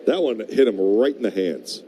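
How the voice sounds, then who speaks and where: A man's voice: lowish voice, expressive, normal speed, warm tone, slight background noise. A man giving conversational color commentary and analysis during a live baseball broadcast.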